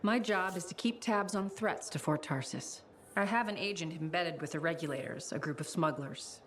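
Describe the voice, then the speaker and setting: A woman's voice: on the low side, normal speed, a little hiss or hum, lightly acted. A woman playing a terse spy handler. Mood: businesslike. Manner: terse, matter-of-fact. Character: guarded female spy handler, businesslike. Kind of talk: video game dialogue.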